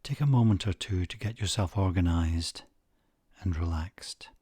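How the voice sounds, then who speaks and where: A man narrating a guided meditation in a measured way, leaving long pauses between short phrases. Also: low voice, warm tone, slightly rough, no room sound.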